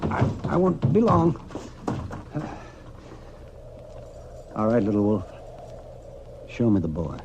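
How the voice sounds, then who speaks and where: An actor playing a steady, protective frontier doctor in an old radio Western, talking in a deliberate, sometimes muttering way. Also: male voice, lowish voice, lo-fi sound. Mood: wary, resolute. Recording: noisy old-time radio recording.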